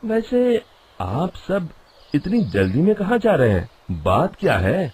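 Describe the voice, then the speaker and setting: A man voicing a simple, trusting elder brother in a cartoon village story, with a husky voice. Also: sits high, huge pitch swings, takes it slowly, darkish tone, heavily acted. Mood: surprised, curious. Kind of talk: Hindi moral-story cartoon acting.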